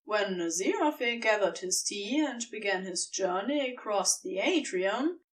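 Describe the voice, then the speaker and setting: Slow, melodic woman storyteller. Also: medium pitch, recorded from a distance. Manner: expressive, tender storytelling. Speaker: narrator. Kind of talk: scripted fiction narration.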